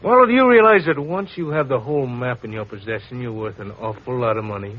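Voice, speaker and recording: An actor playing a big, blunt, cynical sculptor in a sardonic 1940s radio drama style. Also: male voice, mid-range voice, fast, close to an everyday voice. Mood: frank. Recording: muffled, noisy vintage radio recording.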